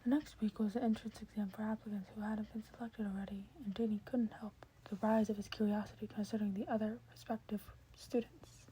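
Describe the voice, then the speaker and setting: A woman's voice: medium pitch, flat delivery, a little fast, few pauses, warm tone, slight background noise, poor audio. A woman reading a scripted story aloud as an amateur audiobook narrator.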